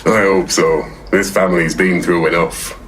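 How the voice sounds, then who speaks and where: A man's voice: deep voice, little pitch movement, normal speed, a bit bright, a little hiss or hum. An actor playing a protective, earnest old grandfather who is easily flustered, in an audio play. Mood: weary. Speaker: actor in an audio comedy drama.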